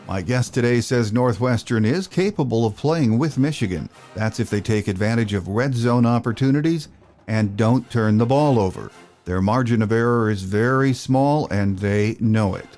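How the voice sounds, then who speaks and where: A man hosting a sports podcast, reading a steady, measured script. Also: medium pitch, faint music behind. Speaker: podcast host.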